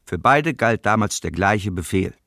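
A cold, formal Nazi officer in a radio documentary drama. The voice is on the low side and gravelly. The delivery is bureaucratic and exact, in a very dry studio sound. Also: male voice, expressive, normal speed, bright, forceful tone, no room sound, exaggerated voice. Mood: cold, official. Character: Nazi German officer reading orders and testimony coldly. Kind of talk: documentary radio play reading.

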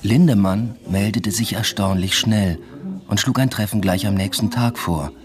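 A man's voice: fairly low pitch, normal speed, crowd noise, lightly acted. An actor playing an introspective young doctoral student who narrates his own story with restraint in a literary radio drama. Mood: pensive, subdued.

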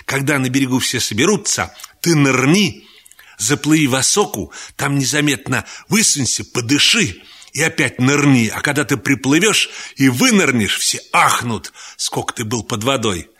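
A man's voice: mid-range voice, lively intonation, normal speed, bright, forceful tone, husky. A man voicing a boastful, scheming schoolboy in a humorous radio story, eager and self-important. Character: boastful scheming schoolboy, cocky young angler.

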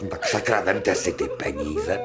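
A man's voice: low voice, animated, over loud music. A man playing an eminent professor of medicine in a radio play, ornately polite, paternal and easily exasperated. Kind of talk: Czech radio play acting.

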